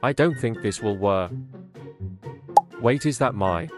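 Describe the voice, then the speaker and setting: A man's voice: fairly low pitch, animated, slow pace. A man voicing an earnest, uneasy male character in a comic dub. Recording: music behind the voice.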